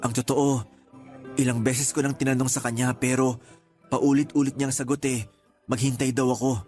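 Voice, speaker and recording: Man acting an earnest Filipino suitor, medium pitch. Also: flat delivery, normal speed, a little mellow, faint music behind, close to an everyday voice. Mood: lovesick, defensive. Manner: earnest, defensive. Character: lovestruck young male suitor, hurt and defensive. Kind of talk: radio drama acting in casual dialogue.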